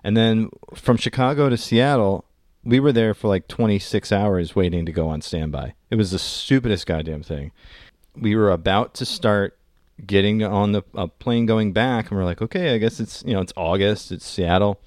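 A man's voice: lowish voice, moderate pace, darkish tone, no room sound, clean studio sound. An adult man co-hosting a podcast, chatting casually, laughing easily, swearing, and stumbling over his words. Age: adult, has a daughter. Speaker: podcast co-host. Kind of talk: casual chat.

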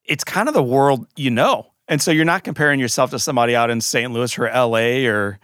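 An interview host summing up the guest's story and asking follow-up questions in a reflective, measured way. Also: mid-range voice, a bit bright, no room sound, clean studio sound. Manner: reflective, summarizing back to the guest. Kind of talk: interview conversation.

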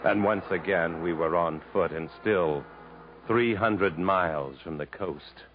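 A muffled, noisy old radio drama carries a resolute man stranded in the wilds. His voice is on the low side, and he speaks with earnest urgency and a protective firmness, in the dramatic manner of fifties radio. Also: slow. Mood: grave narration. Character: determined, protective English adventurer stranded in the jungle. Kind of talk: 1950s American radio drama acting, with first-person narration.

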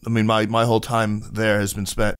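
A man's voice: fairly low pitch, monotone, brisk, clear, resonant tone. A man speaking as a podcast guest in casual conversation, reflective, with frequent restarts and fillers.

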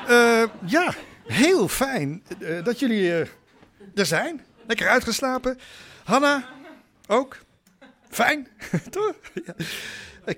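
A woman playing an awkward, eager-to-please middle-aged new partner, halting and nervously cheerful, in a radio drama. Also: on the high side, fairly bright tone, heavily acted. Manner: halting, hesitant, with nervous chuckles.

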